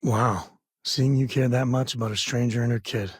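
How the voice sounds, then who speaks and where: An actor playing an easygoing, wisecracking space explorer cowboy in a video game, folksy and wry, with a sincere, devoted streak. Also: male voice, mid-range voice, steady tone, moderate pace, breathy edge, no echo, clean studio sound, close to an everyday voice. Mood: casual, sincere. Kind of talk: naturalistic video game dialogue acting.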